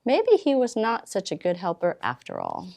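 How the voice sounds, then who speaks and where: A woman volunteer reading a children's storybook aloud, expressively, with dramatic pauses and drawn-out words. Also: medium pitch, wildly swooping pitch, gravelly, darkish tone.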